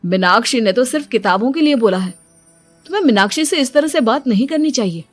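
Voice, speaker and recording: A woman's voice: fairly high pitch, animated, fairly quick, faint music behind, natural voice. A woman voicing a short-tempered young husband who scolds firmly. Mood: stern. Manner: scolding, firm.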